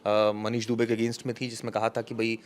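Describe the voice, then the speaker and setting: Male reporter explaining in halting phrases. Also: fairly low pitch, completely flat delivery. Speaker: news reporter giving case details. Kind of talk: unscripted news discussion, explanatory.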